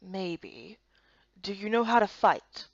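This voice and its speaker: Woman doing a low, gruff warrior leader. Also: sing-song, slow, dry recording, muffled recording, heavily acted. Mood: stern, blunt. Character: gruff, serious male warrior guard leader.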